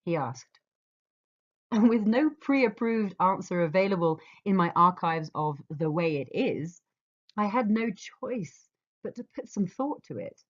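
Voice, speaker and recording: An English woman reading a scripted personal reflection in a measured, earnest, expressive way. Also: mid-range voice. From England. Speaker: author reading her foreword. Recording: slightly dull, muffled audio.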